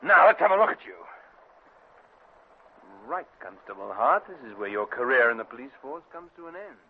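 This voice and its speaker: An actor playing a shrewd, unruffled private detective in a British radio drama, with a clipped, authoritative delivery. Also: male voice, medium pitch, very animated, normal speed, phone-quality sound, slight background noise. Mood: fierce, commanding. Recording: band-limited vintage radio recording.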